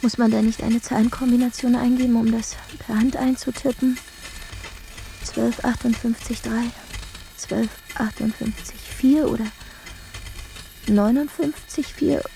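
Flustered, creaky-voiced young woman. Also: on the high side, steady tone, unhurried, dark tone. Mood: anxious confusion. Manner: anxious, questioning, muttering numbers. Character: flustered young female checkout temp worker. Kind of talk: radio drama acting.

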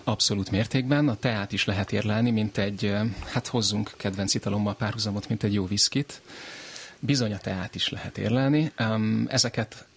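A man explaining conversationally as an expert guest, with an assured, affirming manner. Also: fairly low pitch. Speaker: studio guest, tea expert.

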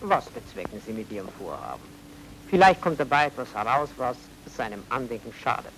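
An effusive, evasive Viennese baron, animated pitch. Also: male voice, brisk, a little hiss or hum, low-quality recording. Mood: guarded. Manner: courteous, effusive, theatrical, evasive. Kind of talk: radio drama acting.

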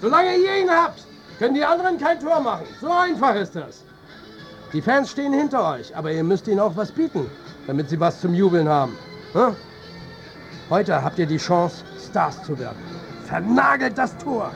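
An actor playing a fiery, foul-mouthed football coach in a radio drama, barking urgent orders and exhortations. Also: male voice, sits high, very animated, moderate pace, vocal fry, fairly bright tone, soft background music, exaggerated voice, rough recording. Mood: fired up. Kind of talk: radio drama acting, shouted team talk.

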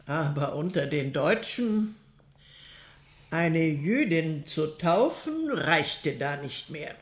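An actress playing a composed convent nun in a radio crime drama, speaking in a measured, formal and deliberate way. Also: female voice, deep voice, expressive. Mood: measured recollection. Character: composed convent nun recounting wartime records to investigators. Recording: echoey room.

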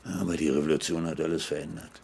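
An actor playing a genial, nostalgic older merchant in a radio drama, with a hesitant, reminiscing manner. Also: male voice, a bass voice, steady tone, fast talker, creaky, a little mellow, husky, exaggerated voice.

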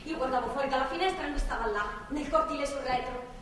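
Actress as a high-voiced defiant young captive, echoey stage. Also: female voice, a crowd in the background, natural voice. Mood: shaken, bitter recounting. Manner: foul-mouthed, agitated, repetitive and halting. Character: captive young pregnant woman, bitter, sarcastic, defiant. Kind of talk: theatrical stage drama acting. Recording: echoey, distant theatre recording, faint noise.

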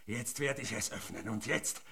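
An actor in a German radio drama playing a sly, greedy, aging foreman, rough-spoken, taunting and insistent. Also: on the low side, animated, normal speed, pushed and bright, full character voice. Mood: furtive, conspiratorial.

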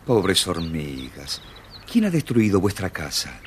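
Gentle young son, lowish voice. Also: male voice, wildly swooping pitch, takes it slowly, a little hiss or hum, full character voice. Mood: kind, concerned. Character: humble, kind-hearted young son.

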